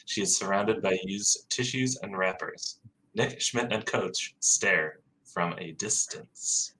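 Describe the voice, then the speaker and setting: Bright-voiced stage direction reader. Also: low-pitched, slow, a little hiss or hum, low-quality recording. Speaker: podcast host reading stage directions.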